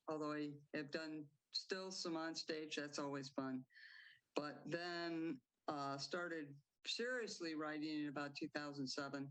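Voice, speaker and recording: An older woman playwright answering interview questions in a halting way, with fillers and laughs. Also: low voice, low-quality recording.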